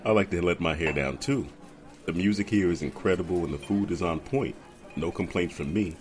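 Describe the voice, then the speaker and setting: A man playing a polished, perceptive romantic suitor in an audio drama, speaking in a measured, earnest, poetic way. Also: low-pitched, natural voice. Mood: relaxed, teasing.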